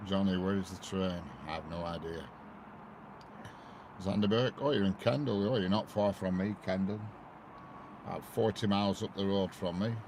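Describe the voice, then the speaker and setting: A man's voice: low voice, moderate pace, pauses often. A man with a Lancashire accent chatting casually on a live stream, greeting viewers by name and laughing often. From northwest England. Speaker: railway livestreamer. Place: outdoors by a railway line. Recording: noisy outdoor live stream.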